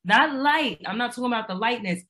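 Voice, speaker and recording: A woman's voice: on the high side, animated, fairly quick, sharp tone. A woman co-hosting a reaction show who gives her opinions in a blunt, crude, emphatic way. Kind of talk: casual chat reacting to a video.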